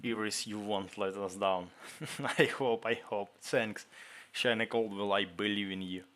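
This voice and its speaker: A man with a Ukrainian accent, a native Ukrainian speaker, hosting a casual livestream Q&A, reading viewer questions aloud and answering in halting English. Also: lowish voice, fairly bright tone, slight background noise. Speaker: livestream host answering chat.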